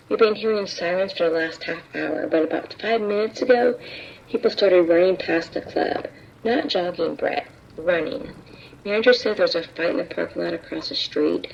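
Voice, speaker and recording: Actress as an undercover vice cop, gravelly, clipped report, muffled lo-fi radio. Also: female voice, lowish voice, a little mellow, a little hiss or hum. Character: tense undercover female vice officer.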